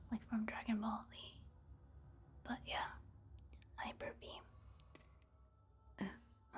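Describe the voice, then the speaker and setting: A woman doing soft-spoken ASMR game commentary in a hushed, breathy whisper, gentle and unhurried. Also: medium pitch.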